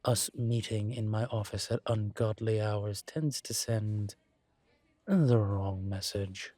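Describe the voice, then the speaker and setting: A man voicing a suave, teasing incubus professor in an intimate audio roleplay, courteous and measured, with deliberate pauses. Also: lowish voice, dark tone, no echo. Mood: measured, candid. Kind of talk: intimate one-sided audio roleplay acting.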